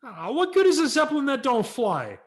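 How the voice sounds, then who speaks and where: A man voicing an excited, whiny little boy in a storybook reading, whooping and shrieking. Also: very high voice, moderate pace, pitched above the performer's own voice, exaggerated voice. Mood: whiny, indignant. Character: excited little kid brother with a new toy.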